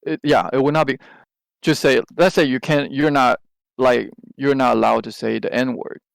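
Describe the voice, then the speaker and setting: Gravelly man. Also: mid-range voice, steady tone, normal speed, no echo. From Taiwan. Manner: rambling, with many restarts and hesitant fillers. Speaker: podcast co-host. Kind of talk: casual chat.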